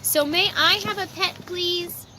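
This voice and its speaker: A woman's voice: fairly even intonation, a little slow, bright, forceful tone, full character voice. A woman voicing an eager, polite young boy turtle from a storybook, earnest and childlike. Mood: pleading. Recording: noisy outdoor amateur recording, ambient rumble.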